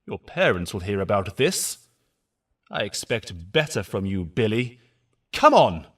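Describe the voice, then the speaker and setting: A man's voice: fairly low pitch, big pitch swings, measured pace. A man playing a stern, angry schoolteacher in an audio drama, scolding and exasperated. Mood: angry, disappointed.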